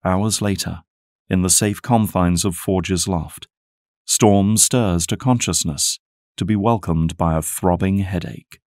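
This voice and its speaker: A man's voice: deep voice, slow pace, darkish tone, dry recording. A man giving scripted, dramatic narration as the narrator of an audio drama.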